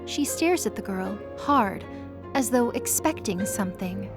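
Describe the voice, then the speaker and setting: A woman's voice: medium pitch, expressive, measured pace, music in the background. A woman narrator reading scripted story narration for an audio drama.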